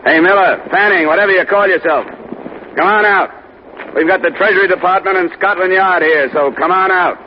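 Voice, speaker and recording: An actor playing a tough, world-weary American insurance investigator in 1950s radio detective style. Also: male voice, high voice, a little fast, noisy background, natural voice. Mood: commanding shout. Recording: dull old radio recording, music behind.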